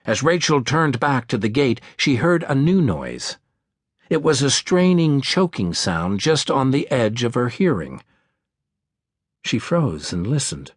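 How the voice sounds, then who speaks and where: Expressive male audiobook narrator, dry studio sound. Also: mid-range voice, measured pace, breathy edge, no room sound. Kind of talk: scripted audiobook narration of a thriller. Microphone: close studio microphone.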